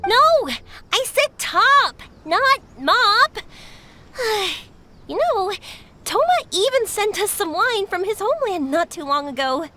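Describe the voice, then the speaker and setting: A woman playing a cheerful, excitable young fireworks artisan in a video game, chatty and informal. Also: extremely high pitch, slow pace, faint music behind, heavily acted. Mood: playful, exasperated. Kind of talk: animated video game voice acting.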